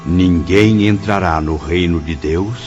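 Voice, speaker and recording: A solemn Brazilian-accented narrator recounts in reverent, literary style. His voice is on the low side, over clear music, with a slightly dull recording. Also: slow, a little mellow. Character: solemn male narrator recalling the spirit world. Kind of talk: scripted radio drama narration.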